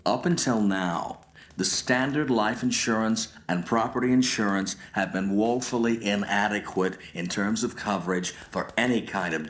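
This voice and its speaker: Low-voiced, pompous corporate executive. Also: male voice, a bit bright. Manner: pompous, formal. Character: smooth, self-righteous insurance company chief executive. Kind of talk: measured corporate interview statement.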